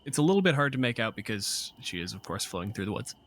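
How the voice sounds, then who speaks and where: A man's voice: fairly low pitch, sing-song, fast, fairly bright tone. A man casually narrating a tabletop game as game master in a conversational, explanatory way.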